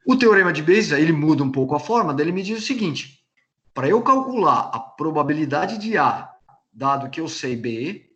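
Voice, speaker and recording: A man with a Brazilian Portuguese accent giving a recorded university lecture, patiently repeating and rephrasing each point, often restarting his sentences. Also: on the high side. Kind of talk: recorded lecture explaining slides. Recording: echoey room.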